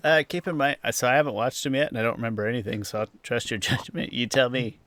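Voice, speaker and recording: A man's voice: medium pitch, fast talker, fairly bright tone, no echo. A man hosting a podcast, chatting casually through a review in a rambling, hedging way. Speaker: podcast host.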